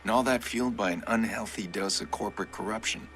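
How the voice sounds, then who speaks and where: An actor playing a cynical hacker in a video game, talking in a casual, conspiratorial way. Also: male voice, lowish voice, quick pace, fairly bright tone, soft background music, natural voice.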